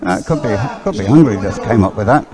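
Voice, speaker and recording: A man giving loose, halting live race commentary outdoors, often rambling and thinking aloud. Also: lowish voice, lively intonation, crowd noise. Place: outdoors beside a race course. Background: music, loudspeakers at a sports venue.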